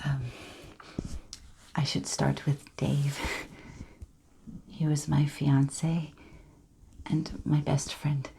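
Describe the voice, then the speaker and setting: A woman's voice: low voice, little pitch movement, very slow, vocal fry, long pauses, mellow, slight background noise, full character voice. A woman performing a dramatic monologue as an earnest, emotional survivor, confiding and hesitant in direct address. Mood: earnest, tentative.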